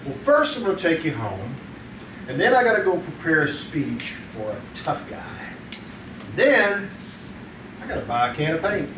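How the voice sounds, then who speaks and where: A man's voice: on the high side, sing-song, normal speed. Man acting easygoing inquisitive photographer detective, radio play, distant, noisy recording. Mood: curious, wry. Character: inquisitive, wry, easygoing male crime photographer sleuth. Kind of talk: old-time radio drama acting. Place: live theater stage. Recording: distant echoey stage recording, clear noise.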